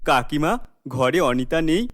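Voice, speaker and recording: A man's voice: on the high side, animated, a little slow, no echo, clean studio sound. A man voicing a polite, placating young village boyfriend in a cartoon, with earnest delivery. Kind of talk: animated cartoon acting.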